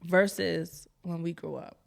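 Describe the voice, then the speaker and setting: A woman's voice: on the low side, steady tone, measured pace, creaky. A woman co-hosting a podcast, asking questions in playful casual chat full of slang. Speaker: podcast co-host.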